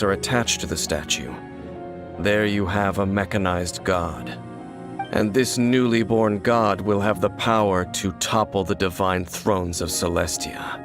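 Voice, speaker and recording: Man as solemn knowing game warrior, low voice, measured formal delivery, guarded, music behind. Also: natural voice. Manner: measured, formal, serious, explanatory. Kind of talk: anime-style video game voice acting. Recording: studio voice over background music.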